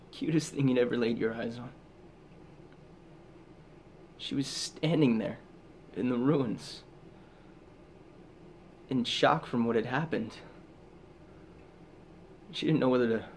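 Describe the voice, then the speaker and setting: A man performing a dramatic monologue as a haunted, guilt-ridden soldier back from war, halting and intense, with heavy pauses. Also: mid-range voice, a little mellow, a little hiss or hum. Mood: grim, sorrowful.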